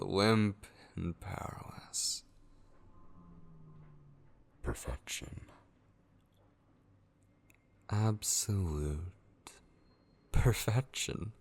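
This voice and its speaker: Man acting a slow, gravelly, sinister whisperer. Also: low voice, full character voice. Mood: gloating. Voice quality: breathy, gravelly, often whispering. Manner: slow, menacing, theatrical. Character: sinister obsessive male voice taunting a captive vampire. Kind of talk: ASMR horror roleplay.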